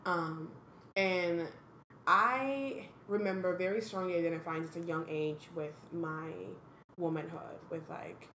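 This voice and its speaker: A young woman from the Dominican Republic speaks in an earnest, thoughtful way, with the odd blunt aside. Her voice sits on the low side and rings in an echoey room. A faint background hum runs under it. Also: a little slow, a bit bright. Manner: thoughtful, earnest, frank, with sudden blunt humor. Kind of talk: reflective casual chat.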